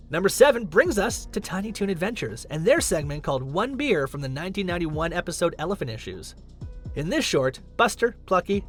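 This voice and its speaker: A man reading scripted countdown narration as the host of a list video. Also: sits high. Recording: music under the voice. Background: background music.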